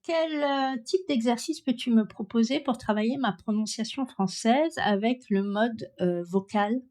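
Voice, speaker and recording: Direct woman with a slightly high voice. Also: a little slow, a little mellow. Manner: direct, critical. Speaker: pronunciation coach testing a voice assistant. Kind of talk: spoken demonstration, questioning.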